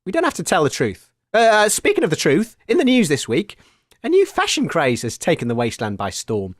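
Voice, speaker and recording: Chirpy, lively-voiced comedy host. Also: male voice, fairly high pitch, fairly quick, no room sound, studio quality. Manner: chirpy, laughing often. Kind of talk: scripted comedy banter.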